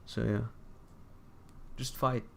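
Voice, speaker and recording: A man giving casual commentary as a streamer, halting and repeating his phrases as he thinks aloud. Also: slight background noise. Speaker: streamer reacting to another stream.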